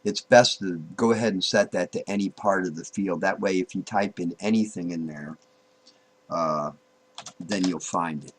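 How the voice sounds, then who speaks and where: A man giving an informal, unscripted software tutorial, thinking aloud, halting and repeating himself as he goes. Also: deep voice, slightly rough. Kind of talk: informal screen-recorded tutorial, unscripted walk-through.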